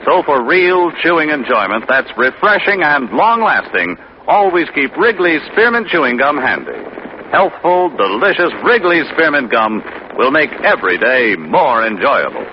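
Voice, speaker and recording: A man's voice: fairly high pitch, sing-song, moderate pace. An American man announcing on 1950s radio, reading scripted copy with polished, upbeat salesmanship. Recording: muffled, noisy 1950 radio broadcast.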